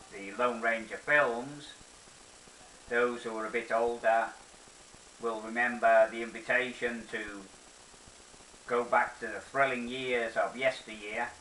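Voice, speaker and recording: Older male presenter, bright forceful tone, unhurried deliberate delivery, nostalgic monologue. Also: lowish voice. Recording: roomy echo, distant mic, faint hiss.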